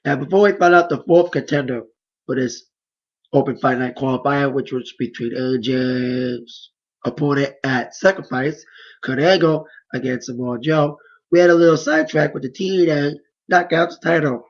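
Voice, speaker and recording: A hoarse man giving a casual, rambling play-by-play recap of wrestling matches. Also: medium pitch, normal speed, muffled recording. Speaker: wrestling reviewer.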